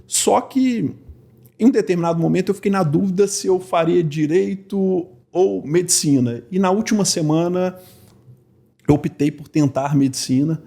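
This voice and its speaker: A man's voice: high voice, normal speed, vocal fry, mellow. A man in his forties from Belo Horizonte with a Minas Gerais accent, a lawyer guest talking earnestly and reflectively in an interview. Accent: Minas Gerais Portuguese. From Belo Horizonte, Brazil. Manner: earnest, reflective, with many fillers. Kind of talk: relaxed interview conversation.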